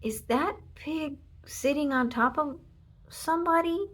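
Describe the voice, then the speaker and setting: A woman voicing a formal, deliberate male police officer in a children's storybook. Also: high voice, fairly bright tone, pitched above the performer's own voice. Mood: puzzled.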